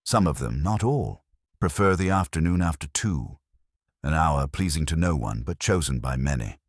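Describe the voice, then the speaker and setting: A man performs a discreet bank manager in an audiobook tale. He is pompous and guarded, choosing formal words in a low, husky voice with marked rises and falls. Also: lively intonation, normal speed, dry recording, studio quality, full character voice. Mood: reluctant.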